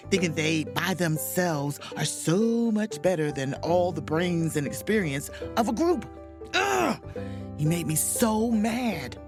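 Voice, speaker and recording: A proud, easily offended chief mate confiding in her diary in an audio drama. Her voice sits low for a woman and swings widely with wry, theatrical emphasis, over faint music. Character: proud, indignant, self-dramatizing spaceship chief mate on vacation.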